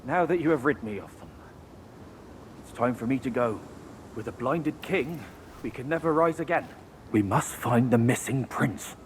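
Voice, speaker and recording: A man's voice: medium pitch, expressive, normal speed, natural voice. Man playing a wary, weary rat exile, faint noise. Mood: resolute. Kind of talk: video game character acting.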